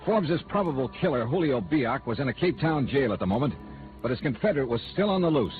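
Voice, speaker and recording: An actor playing a wry, hard-boiled insurance investigator, narrating in dry, clipped 1950s radio noir style. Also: male voice, mid-range voice, natural voice. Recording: tinny old radio recording with music.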